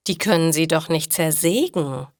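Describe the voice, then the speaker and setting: A woman's voice: deep voice, moderate pace, no room sound, clean studio sound. A woman voicing an insistent, meddling but friendly male neighbour in a language-learning story, speaking politely and emphatically. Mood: puzzled, insistent.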